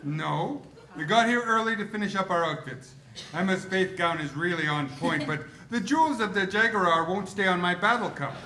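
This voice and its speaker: A man's voice: high-pitched, animated, moderate pace, roomy sound, background noise. A man reading an earnest, geeky cosplay fan at a live table read. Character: nerdy eager cosplay-loving man.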